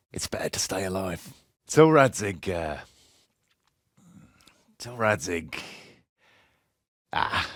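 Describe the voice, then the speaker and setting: An actor playing a wary, self-serving medieval mercenary captain in a video game, talking in a sardonic, pragmatic, patronizing way. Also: male voice, low-pitched, fairly bright tone, no room sound, clean studio sound, full character voice. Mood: grim, resigned. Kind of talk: video game character dialogue.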